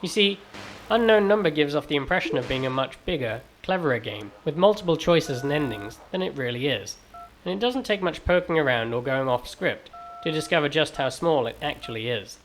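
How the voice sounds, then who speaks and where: Wry transatlantic man with lively delivery. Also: on the high side, brisk, hardly any pauses, a little hiss or hum, rough recording. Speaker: video game reviewer. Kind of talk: scripted review narration.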